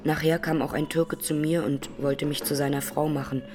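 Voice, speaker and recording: A woman's voice: low voice, little pitch movement, normal speed, music in the background, close to an everyday voice. Solemn woman survivor giving testimony, acted. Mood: grave, sorrowful. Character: grieving Armenian woman, massacre survivor testifying in court. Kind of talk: radio drama courtroom testimony.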